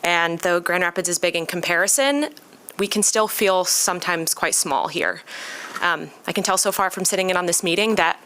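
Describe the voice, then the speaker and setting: An American woman from Michigan delivering a prepared public comment as a resident, measured and earnest. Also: on the low side, fairly bright tone. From Michigan, United States. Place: city commission meeting room.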